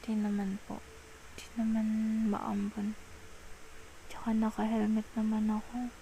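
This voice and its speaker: A woman acting as a young Filipino girlfriend in an ASMR roleplay, apologetic and pleading. Her voice is soft and mellow, and she talks slowly and hesitantly, coaxing her partner. A faint noise sits behind the intimate recording. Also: medium pitch, monotone, creaky. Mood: defensive, coaxing.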